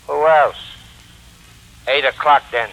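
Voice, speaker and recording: An actor playing a curt, menacing gangster with clipped, commanding slang, in 1940s radio crime style. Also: male voice, medium pitch, takes it slowly, vocal fry, lo-fi sound, background noise. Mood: menacing, businesslike. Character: curt, menacing gangster giving ransom orders.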